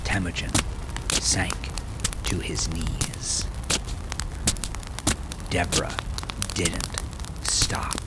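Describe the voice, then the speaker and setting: A man narrating dark fiction in an intense, theatrical way, often sinking to a hushed murmur. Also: low-pitched, level tone, extremely slow, pauses often, very noisy. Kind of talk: dramatic scripted fiction narration. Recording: loud music under the voice. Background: loud music.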